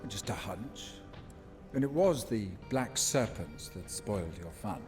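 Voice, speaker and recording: Shrewd bounty hunter in a game, lively swings in tone, coaxing, faint music. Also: male voice, on the low side, unhurried. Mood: knowing. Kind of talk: video game cutscene acting.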